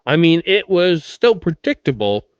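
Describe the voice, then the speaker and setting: A man's voice: on the high side, big pitch swings, a little slow, smooth, dry recording, lo-fi sound. A man hosting a podcast, giving scripted commentary and sign-off announcements. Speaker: podcast host. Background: music.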